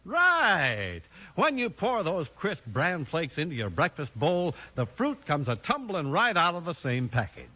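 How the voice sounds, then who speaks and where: A man's voice: a little high, wildly swooping pitch, a little slow, bright, forceful tone. A man announcing and selling in the enthusiastic, emphatic style of a 1950s radio show. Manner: enthusiastic, emphatic sales delivery. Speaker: announcer and sponsor pitchman. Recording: old radio broadcast with music. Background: music.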